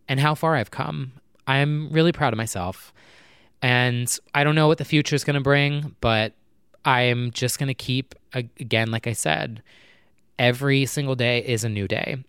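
A young man chatting casually at night, rambling with lots of fillers and talking low so his neighbors won't hear. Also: smooth, no echo, studio quality. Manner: talking low and quietly to keep neighbors from hearing. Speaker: vlogger. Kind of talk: casual personal chat to camera. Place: apartment at night.

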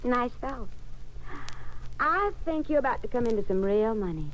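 An actress playing a seductive, scheming femme fatale in a 1950s radio drama, coy and syrupy with a Southern American accent. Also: female voice, a little high, lively intonation, normal speed, slight background noise, natural voice. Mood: flirtatious. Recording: dull 1950s radio broadcast.

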